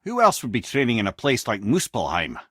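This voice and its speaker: Game role: older Scottish sage and guide, wry, eloquent storyteller with widely swinging intonation, dry close recording. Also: male voice, mid-range voice, huge pitch swings, brisk, a bit bright, slightly rough, dry recording, full character voice. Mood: explaining, guiding. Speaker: video game voice actor. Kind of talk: in-game character dialogue and storytelling.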